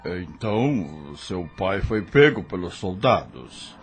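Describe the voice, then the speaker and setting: A low, grim, strange recluse. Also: male voice, sing-song, slow, music in the background, heavily acted. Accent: Brazilian Portuguese. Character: strange, dirty grim older man, blunt.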